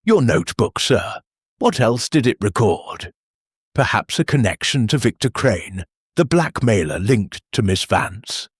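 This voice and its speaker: A man voicing a placid, shrewd elderly village lady sleuth, with a lilting, calm but cutting delivery in an audiobook mystery. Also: lowish voice, unhurried, breathy edge, no echo, studio quality, heavily acted. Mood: probing.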